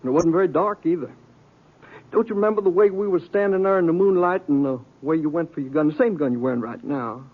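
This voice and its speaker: On a thin old radio recording, an actor plays a sly Western outlaw. His male voice sits fairly high, with a folksy rustic drawl. He is vain, stubborn and needling. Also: fast, phone-quality sound, close to an everyday voice. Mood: accusing. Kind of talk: 1950s radio western acting.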